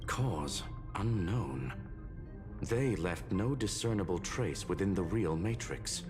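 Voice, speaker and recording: An actor voicing a calm, logical male machine intelligence in a video game, speaking in a precise, clipped, analytical way over game music. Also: deep voice, slow pace. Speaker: game character.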